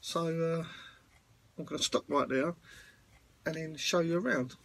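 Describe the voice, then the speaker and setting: A man's voice: a little high, fairly even intonation, measured pace, creaky, fairly bright tone. A man vlogging casually outdoors beside parked vans, talking in a rambling, plain-spoken way with plenty of fillers. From United Kingdom. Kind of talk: casual walkaround vlog.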